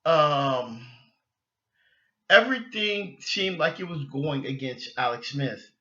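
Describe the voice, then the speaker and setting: A man with a fairly high, very bright and forceful voice talks casually as an opinionated sports fan. He rambles, doubling back on phrases as he goes. The recording is slightly dull and lo-fi. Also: lively intonation, slow pace. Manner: rambling, repeats and restarts phrases. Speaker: sports podcast host.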